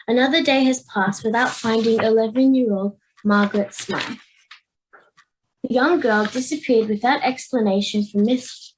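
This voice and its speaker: A woman's voice: a little high, a little hiss or hum, close to an everyday voice, rough recording. An Australian girl of about ten playing a curious, anxious modern schoolgirl in an amateur radio play, recorded at home. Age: 10 to 11, child. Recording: home video-call recording with music.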